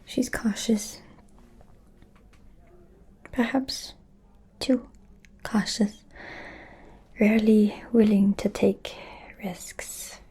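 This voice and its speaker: A very breathy, whispering vampire noblewoman played by a woman in an ASMR roleplay. She is haughty and scheming, speaking slowly and deliberately. Every phrase is soft, mellow and insinuating. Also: medium pitch, fairly even intonation, heavily acted. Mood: sly, insinuating.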